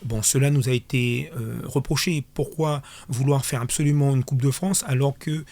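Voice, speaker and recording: A man answering as an official in a radio interview, explaining things haltingly and repeating words as he restarts. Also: mid-range voice, fairly even intonation, a little mellow. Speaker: sports official, interview guest. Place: radio studio.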